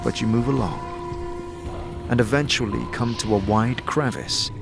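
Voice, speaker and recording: A man giving scripted fantasy narration in a dramatic, measured storytelling manner. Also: medium pitch, creaky. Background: music.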